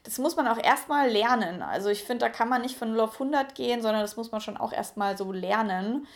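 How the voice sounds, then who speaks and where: A woman's voice: fairly high pitch, brisk. Chatty young woman vlogging frankly. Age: 20s. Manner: chatty, frank, many fillers. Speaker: YouTuber. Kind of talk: casual personal vlog.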